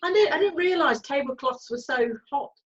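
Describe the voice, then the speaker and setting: A woman chatting in an animated way on a video call from home, often laughing. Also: sits high, moderate pace, a crowd in the background. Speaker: cast member in a read-through. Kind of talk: casual chat on a video call. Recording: dull lo-fi video call audio.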